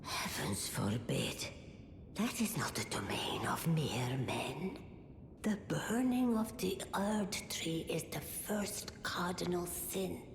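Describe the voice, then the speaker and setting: In a reverberant hall over loud rumble, an ancient oracle crone speaks in a low, breathy, aged voice. She is cryptic and portentous, drawing out each phrase very slowly, as in a dark fantasy game. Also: animated, full character voice. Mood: shocked, forbidding. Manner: slow, portentous, musing. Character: ancient blind crone, oracle serving the fingers, cryptic and grave. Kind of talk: theatrical fantasy game acting. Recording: echoey hall, loud rumbling background noise.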